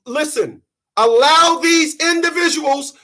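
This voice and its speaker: A man in his thirties, sweaty after a run, giving an animated, preachy monologue to his channel's viewers. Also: extremely high pitch, takes it slowly. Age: 30s. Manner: animated, preachy, mocking quoted voices. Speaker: channel host. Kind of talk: casual monologue to camera.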